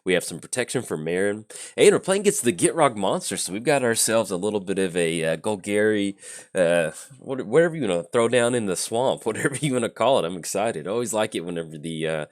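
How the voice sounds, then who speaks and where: A man with a raspy, not yet warmed-up voice, casually narrating a card game as a channel host in an upbeat, chatty way. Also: low voice.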